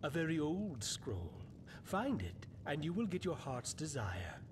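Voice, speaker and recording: A man's voice: big pitch swings, a little slow. A man voicing an eerie, mock-courteous prophet in a video game, speaking in portentous, theatrical riddles. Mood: cryptic, solemn. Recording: rumbling background noise.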